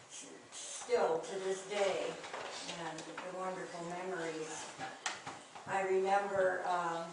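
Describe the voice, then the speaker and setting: An older woman telling family memories to a small group in a hesitant, reminiscing way, with many pauses and fillers. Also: lowish voice. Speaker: speaker recalling family memories. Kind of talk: reminiscing oral history talk. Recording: echoey room, distant mic, noisy.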